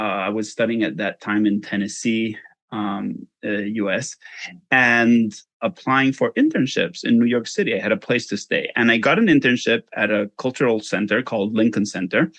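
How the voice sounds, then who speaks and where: A man's voice: mid-range voice, fairly bright tone, dry recording. A man from Spain with a Spanish accent, answering interview questions in an informal, self-deprecating way. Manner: informal, self-deprecating, frequent "you know". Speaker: interview guest, fundraising consultant.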